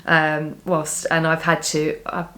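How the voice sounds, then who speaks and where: A woman with a cold, sounding a little croaky, chatting casually to camera about her knitting plans, rambling with frequent restarts. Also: deep voice, completely flat delivery, slow, pushed and bright, a little hiss or hum. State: has a cold, slightly croaky. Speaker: knitting vlogger.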